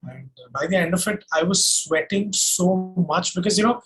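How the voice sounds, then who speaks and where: Male interview guest, Indian accent, slightly high husky voice, reflective, unhurried storytelling with hesitations. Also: a bit bright, soft background music, poor audio. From Delhi, India. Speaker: singer-songwriter being interviewed. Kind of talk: casual reminiscing in an interview.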